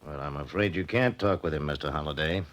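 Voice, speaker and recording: An actor playing a helpful, hesitant official in a 1940s radio drama. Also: male voice, a bass voice, fast, background noise. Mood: grave, regretful. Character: obliging, hesitant male official answering questions.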